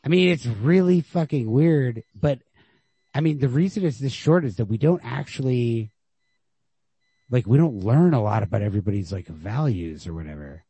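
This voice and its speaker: Gravelly, husky man in casual discussion. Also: medium pitch, lively intonation, dark tone, no room sound. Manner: halting, filler-heavy with frequent "like", casual swearing. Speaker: podcast host discussing short stories.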